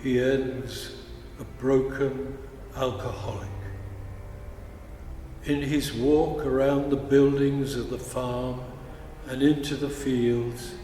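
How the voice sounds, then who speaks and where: A man narrates literary prose in a solemn, even tone at a slow pace. He is heard from a distance in a reverberant hall, with faint music. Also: medium pitch.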